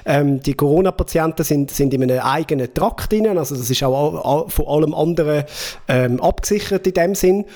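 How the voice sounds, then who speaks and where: Joking Swiss German man, higher voice. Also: a little fast, mellow. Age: 40s. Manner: joking, rambling storyteller. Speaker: podcast co-host. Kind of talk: casual chat.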